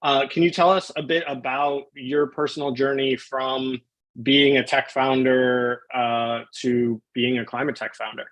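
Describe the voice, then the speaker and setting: A man's voice: medium pitch, fairly even intonation, a little slow, fairly bright tone. A man hosting a live online interview on a video call, friendly and informal as he introduces his guest. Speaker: host, webinar moderator.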